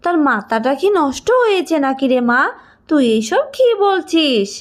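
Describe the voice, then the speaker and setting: Woman doing a dramatic village mom for a cartoon, super high and very animated, scoldy but loving. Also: moderate pace. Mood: disbelieving. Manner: emphatic, dramatic scolding and fussing. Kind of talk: cartoon voice acting.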